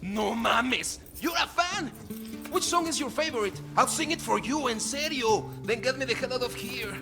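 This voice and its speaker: An actor playing a vain, excitable male Mexican singer, theatrical, with a Mexican accent, in game dialogue. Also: very high-pitched, moderate pace, over music. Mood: flattered, excited. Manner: theatrical, excitable, code-switching.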